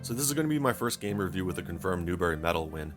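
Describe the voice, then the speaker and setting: Very low male voice, fast delivery, wry wordy video game narration, music bed. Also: expressive. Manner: wry, wordy, casually profane. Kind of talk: scripted narration for a game review.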